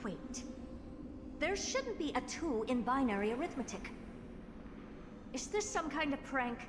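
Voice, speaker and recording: A woman's voice: sits high, sing-song, measured pace. An actress playing a haughty, eccentric woman scientist in a video game, theatrical and imperious, muttering to herself. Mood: puzzled. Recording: roomy echoing hall with noise.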